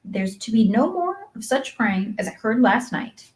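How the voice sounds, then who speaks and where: A woman playing a stern, unsentimental guardian in a storybook, brisk, matter-of-fact and disapproving. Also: fairly high pitch, big pitch swings, a little mellow. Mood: stern, instructing. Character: stern, practical, unsentimental woman raising an orphan. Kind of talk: acted audiobook dialogue.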